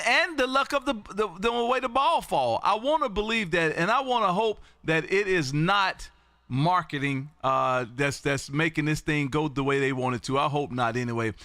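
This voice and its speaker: A loud, exuberant man chatting as an amateur radio livestream host, emphatic and prone to repeating phrases. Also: high voice, no echo. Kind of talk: ham radio contact chat. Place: indoor home radio room.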